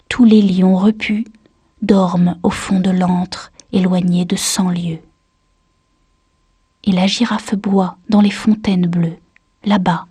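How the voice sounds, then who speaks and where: A woman reciting a literary poem in a measured, solemn manner. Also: mid-range voice, mellow, husky, dry recording, studio quality. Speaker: poetry reader.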